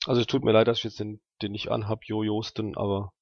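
A man's voice: lowish voice, level tone, fast, vocal fry, highs cut off, slight background noise. A man playing a tabletop role-playing game, chatting casually and working through rules and numbers aloud.

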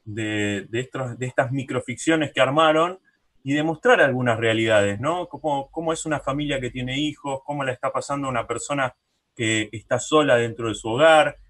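Male radio host, mid-pitched. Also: normal speed, a bit bright. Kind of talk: conversational radio interviewing.

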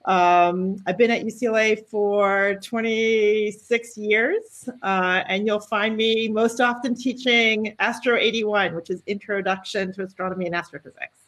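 A woman's voice: medium pitch, steady tone, a little slow, few pauses, fairly bright tone. A woman, an astrophysics professor, briefly introducing herself on a video call. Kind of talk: casual self-introduction.

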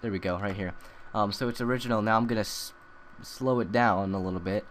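A young man talking through a software tutorial in a loose, rambling way, full of ums and uhs. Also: lowish voice, steady tone, a little fast, a little hiss or hum. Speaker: tutorial maker. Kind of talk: casual screen-recorded tutorial.

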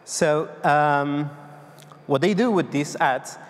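A man's voice: on the high side, slow. Spanish-accented man presenting on stage. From Argentina. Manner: loose, hesitant delivery with many fillers and restarts. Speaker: conference speaker. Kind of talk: tech conference presentation. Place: large dark conference hall on stage. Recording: long hall reverb.

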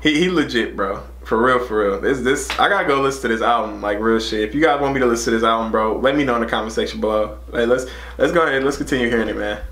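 A man's voice: mid-range voice, fast talker, few pauses, fairly bright tone, roomy sound. A man in his twenties with an American accent chatting casually as a reaction host, slangy and often repeating his phrases. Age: 20s. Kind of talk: casual music reaction chat. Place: small room indoors.

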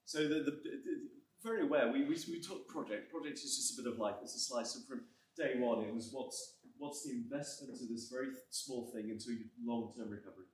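A middle-aged man hosting a university seminar talks in a brisk way, often restarting his words. His mid-range voice comes from across an echoey room, caught by a distant camera. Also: mid-range voice, a little mellow. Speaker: academic session host. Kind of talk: wrapping up a seminar. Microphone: far room camera.

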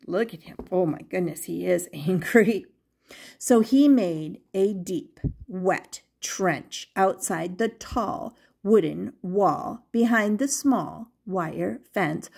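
A woman's voice: medium pitch, vocal fry, warm tone. An older woman, a grandmother, reading a children's picture book aloud expressively and putting questions to her young listeners. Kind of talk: animated children's storybook read-aloud.

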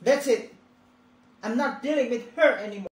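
Woman as a curt, highish-voiced film director. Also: normal speed, darkish tone, natural voice. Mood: exasperated. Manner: curt, commanding. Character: exasperated, bossy film director. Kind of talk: amateur dramatic reading. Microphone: video call. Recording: roomy video-call sound.

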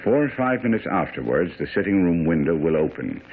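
A commanding, self-assured detective lays out orders precisely and theatrically. The deep voice rises and falls dramatically in a tinny, faintly noisy radio play. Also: male voice, very animated, fairly quick. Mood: instructing, commanding.

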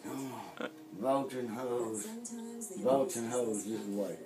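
A drunk young man joking and rambling rowdily while filming a late-night party in a small home bar room, swinging between shouts and mumbles. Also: medium pitch, fairly even intonation, slow pace, over a noisy crowd. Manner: rowdy, slurring, joking, often shouting or mumbling.

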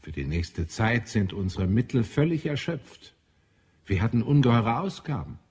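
An actor playing a composed, dutiful Martian chief administrator in an audio drama, formal and authoritative. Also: male voice, medium pitch, animated, normal speed, a little mellow, husky. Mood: concerned, measured. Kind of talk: German audio drama acting.

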